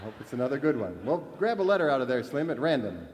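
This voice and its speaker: A man's voice: mid-range voice, very animated, quick pace, a little mellow. A man hosting a scripted live radio comedy show. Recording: live stage hall reverb, faint noise.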